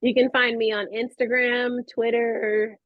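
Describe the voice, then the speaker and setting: A woman's voice: fairly high pitch, level tone, a little slow, no echo, highs cut off. An American woman talking casually and expressively as an interview guest, with plenty of enthusiasm. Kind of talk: casual chat.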